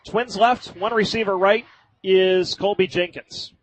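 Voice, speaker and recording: An American man doing live radio play-by-play of high school football in vivid, colloquial language. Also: fairly even intonation, unhurried, no room sound, poor audio.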